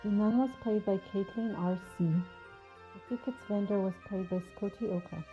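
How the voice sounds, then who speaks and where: A woman's voice: mid-range voice, steady tone, moderate pace, mellow. A woman reading scripted end credits as an announcer. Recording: clear music behind. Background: music.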